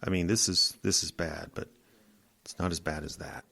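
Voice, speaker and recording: Rory: guarded, haunted loner, played by a man; curt, very deep, heard a little off-mic. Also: steady tone, normal speed, vocal fry, sharp tone, heavily acted. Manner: terse and defensive. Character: weary, guarded man haunted by abduction dreams. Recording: binaural, voice set back from mic.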